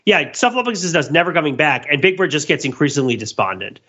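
A man with a bright, slightly high voice talks as a podcast host. He rambles through comic retellings in an animated, casual way, wandering off on tangents. The recording is a little dull and lo-fi. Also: fast. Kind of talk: casual comedic pop-culture discussion.

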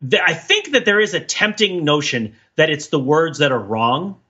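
A man's voice: on the high side, animated, normal speed, pushed and bright, slightly rough, dull audio. A man co-hosting a podcast in casual chat, discursive, thinking aloud and often checking his points with "right?". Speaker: podcast co-host.

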